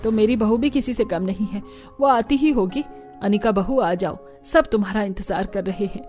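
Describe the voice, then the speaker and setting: Cartoon role: proud middle-aged mother-in-law, played by a woman, mellow mid-pitched voice, commanding and scolding, music underneath. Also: normal speed, natural voice. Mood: boastful. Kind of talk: Hindi cartoon family drama acting.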